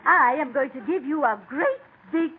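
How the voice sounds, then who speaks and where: A woman's voice: sits high, animated, moderate pace, phone-quality sound. An actress playing a refined, coy society lady with an affected, genteel way of talking in an old radio comedy. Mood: gushing. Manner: affected, genteel, repeats herself emphatically. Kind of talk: 1940s radio comedy acting. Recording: thin, noisy old radio recording.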